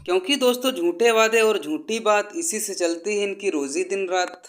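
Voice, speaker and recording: A man's voice: high-pitched, moderate pace, heavy background noise. A man delivering scripted satirical narration as a video host, mockingly addressing viewers as his friends.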